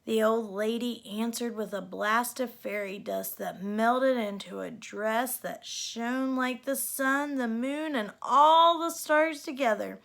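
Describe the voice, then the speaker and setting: High-voiced woman reading a storybook aloud. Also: slow, gravelly, hardly any pauses, fairly bright tone. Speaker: storybook reader. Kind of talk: expressive read-aloud children's story narration.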